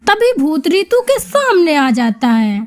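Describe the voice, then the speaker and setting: A woman's voice: high-pitched, big pitch swings, takes it slowly, a little hiss or hum. A woman narrating a scripted story as its storyteller.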